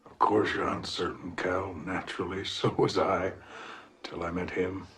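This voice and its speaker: Low, hushed cult recruiter, noisy. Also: male voice, little pitch movement, a little slow. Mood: quietly persuasive. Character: calm male cult recruiter, devoted and persuasive. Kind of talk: film drama dialogue. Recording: distant, noisy film clip with clicks.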